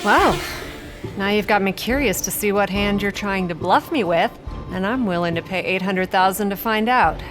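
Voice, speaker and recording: An actress playing a bold, cool-headed adventurer at a card table, sly and confident, in an audio drama. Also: female voice, mid-range voice, moderate pace, faint music behind, natural voice. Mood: calculating.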